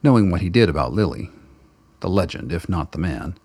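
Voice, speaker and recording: A man narrating a radio drama in steady, close third-person storytelling. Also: low-pitched, brisk, slightly rough. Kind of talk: scripted narration.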